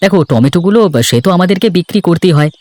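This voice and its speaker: A man's voice: high voice, expressive, very fast, darkish tone, no echo. A man voicing an easygoing, hardworking farmer and husband in a cartoon folk tale. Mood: content, reasonable. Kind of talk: Bengali cartoon fairy-tale dialogue.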